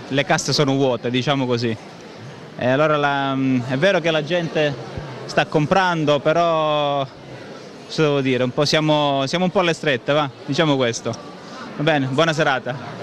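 A man in his thirties making casual, halting remarks to an interviewer on a handheld microphone in a shopping mall. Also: medium pitch, fairly even intonation, fairly bright tone.

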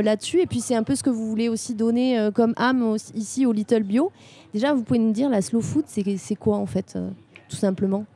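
A woman's voice: a little high, warm tone. A woman hosting a live radio interview on an outdoor terrace, introducing her guests and asking friendly, leading questions. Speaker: radio host. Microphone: table mic on stand.

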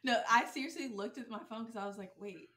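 A woman in a casual chat, recounting things quickly and excitedly. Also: fairly high pitch, very noisy.

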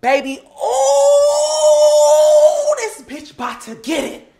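A woman's voice: medium pitch, lively intonation, normal speed, a bit bright. An actress playing an exasperated young girlfriend in a naturalistic comedy skit, with an American accent. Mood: furious. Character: exasperated, fed-up young woman arguing with her boyfriend. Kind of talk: comedy skit acting, naturalistic couple banter.

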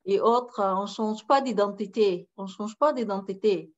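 A woman's voice: medium pitch, unhurried, darkish tone. Middle-aged woman from sudan, emphatic, says things twice, muffled video call sound. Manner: emphatic, repeats key phrases. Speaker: guest speaker. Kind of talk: conference talk. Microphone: webcam on a video call.

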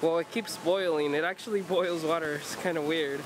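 A man's voice: on the high side, fairly even intonation, normal speed, noisy background. A man in his thirties vlogging casually into a handheld camera at arm's length, upbeat and chatty, narrating his plans as he moves around outdoors, on a ferry, in a car and in shops. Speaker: travel vlogger. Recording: loud background music.

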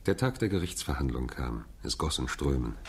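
Radio drama barrister-narrator, deep voice, sober and measured delivery. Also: male voice, sing-song, background noise. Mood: tense, sober. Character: Irwin, measured young English barrister narrating his case. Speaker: narrator and character.

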